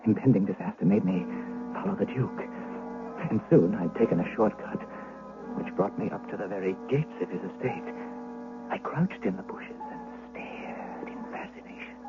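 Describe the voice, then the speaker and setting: An actor playing a fearful witness who recounts a dark tale in suspenseful, literary 1970s radio narration. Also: male voice, fairly low pitch, moderate pace, music in the background, close to an everyday voice. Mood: panicked, awed. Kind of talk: dramatic first-person radio narration, 1970s. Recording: muffled old radio recording, clear noise.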